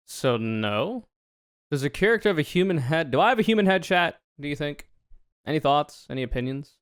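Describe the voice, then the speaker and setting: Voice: medium pitch, clean tone, no echo, studio quality. A man in his twenties from the United States chatting casually on a livestream, reading questions aloud and answering with joking, sarcastic, rambling asides. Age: 20s.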